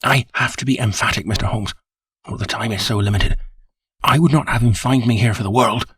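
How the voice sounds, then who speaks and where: A man voicing a nervous, breathless male estate manager who speaks in hurried short sentences. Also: lowish voice, fairly bright tone, husky, dry recording, clean studio sound. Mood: agitated.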